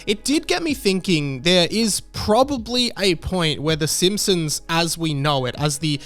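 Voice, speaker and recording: Male YouTube reviewer, slightly higher pitch, casual commentary style. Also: expressive, measured pace, fairly bright tone, soft background music. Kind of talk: casual video-essay commentary.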